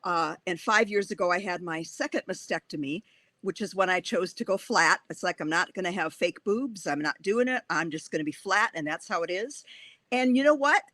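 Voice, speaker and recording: Older woman, 60s, Wisconsin accent, bright forceful voice, enthusiastic chatty author, dry sound. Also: lowish voice, animated, normal speed, no room sound. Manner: chatty, enthusiastic, laughs often. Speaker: author guest. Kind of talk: bookstore author talk.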